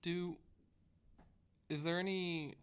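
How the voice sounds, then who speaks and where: A man's voice: high-pitched, steady tone, slow, clean tone. A man chatting casually as a podcast panelist, inquisitive and dryly wry. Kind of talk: casual chat.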